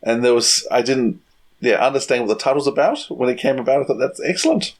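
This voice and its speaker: A man co-hosting a podcast, chatting casually and haltingly as he searches for his words. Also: mid-range voice, animated. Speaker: podcast co-host. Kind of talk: casual review chat.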